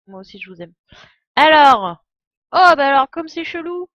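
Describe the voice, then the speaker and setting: A woman's voice: high-pitched, very animated, normal speed, a bit bright, no room sound. Playful woman streamer on a dull, dry mic. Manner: playful, joking, slangy. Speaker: game streamer. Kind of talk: casual live game commentary. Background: faint video game music and dialogue.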